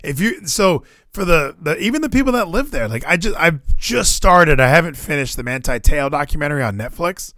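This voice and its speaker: Animated, higher-voiced American man chatting sports. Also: a little fast, hardly any pauses, dry recording, studio quality. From Hawaii, United States. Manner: rambling, animated, profane, opinionated. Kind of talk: casual sports chat.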